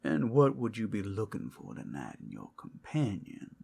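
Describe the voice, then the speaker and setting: A suave werewolf acted out by a man for an ASMR roleplay, low and gravelly. He takes his time, teasing and flirting with a predatory edge, his pitch swinging widely as he drawls. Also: wildly swooping pitch, moderate pace, a little mellow, exaggerated voice. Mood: sly, welcoming. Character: suave, predatory male werewolf companion at a fantasy brothel.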